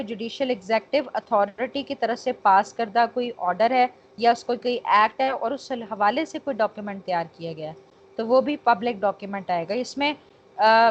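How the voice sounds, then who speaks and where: High-voiced Pakistani woman teaching, dull noisy audio. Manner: checks understanding, frequent tag phrases; mixes in English terms. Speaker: law teacher. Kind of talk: online lecture on law, explanatory. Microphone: online call.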